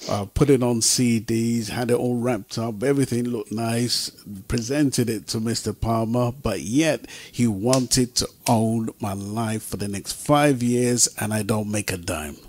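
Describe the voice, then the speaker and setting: A man on a talk show panel, a music producer, telling a personal anecdote conversationally and a little haltingly. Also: mid-range voice, dry recording.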